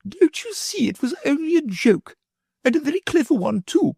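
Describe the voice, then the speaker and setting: A man reading aloud, voicing a shrill, doting, fussy noblewoman who shrieks when excited. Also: normal speed, creaky, dry recording, studio quality, exaggerated voice. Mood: scolding.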